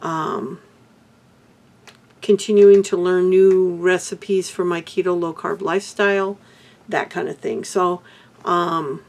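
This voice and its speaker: Older lady vlogging crafts, hoarse and a bit gravelly, just rambling along. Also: fairly low pitch, fairly even intonation, takes it slowly, pauses often, darkish tone. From Texas. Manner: rambling, chatty. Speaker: beadwork vlogger. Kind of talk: casual chat to camera.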